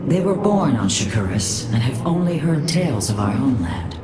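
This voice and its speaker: A woman voicing a composed, proud alien leader in a game cutscene, cool, formal and measured. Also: a bass voice, very animated, very noisy. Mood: solemn. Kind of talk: dramatic scripted game cutscene acting.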